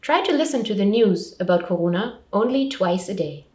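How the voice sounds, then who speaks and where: A woman's voice: mid-range voice, animated, a little fast, darkish tone. A woman presenting a scripted public information talk, giving simple, step-by-step advice as a support counsellor. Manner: simple, clearly structured advice in short sentences. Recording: roomy echo, distant microphone.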